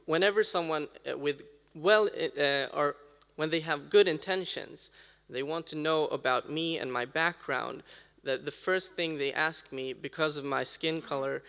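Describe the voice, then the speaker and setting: Brief: man in the audience, gravelly yet bright, crisp voice, halting delivery, echoing hall. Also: on the high side. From Sweden. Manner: hesitant, many ums and uhs. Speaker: audience member. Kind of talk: audience comment at a talk. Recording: reverberant hall sound.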